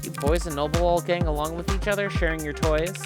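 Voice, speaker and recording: A man's voice: a little high, steady tone, fast talker, lightly acted. A man voicing a shrewd, sardonic female defense lawyer in a visual novel, dry, probing and teasing. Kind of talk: acting out visual novel dialogue on stream. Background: loud game music.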